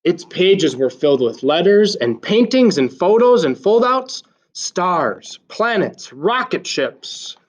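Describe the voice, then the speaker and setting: A man reading a children's story aloud like a teacher, with expressive, deliberate emphasis. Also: sits high. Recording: slightly dull, lo-fi sound.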